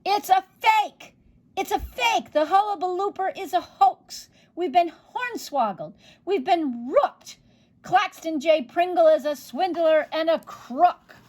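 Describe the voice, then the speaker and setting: A woman voicing an outraged crowd shouting indignantly. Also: extremely high pitch, animated, takes it slowly, pitched above the performer's own voice, heavily acted. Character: outraged swindled crowd of townsfolk.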